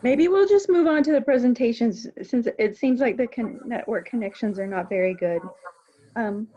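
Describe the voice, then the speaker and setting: Female moderator, higher voice, husky and dark, muffled call audio; brisk. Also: animated. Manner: brisk, calls on participants by name. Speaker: meeting moderator. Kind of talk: hosting an online meeting.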